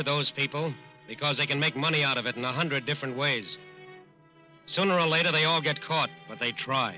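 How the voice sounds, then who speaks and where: A man narrating a 1940s radio drama from a script in a pointed, dramatic way. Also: medium pitch. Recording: dull old radio recording. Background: loud-enough dramatic music.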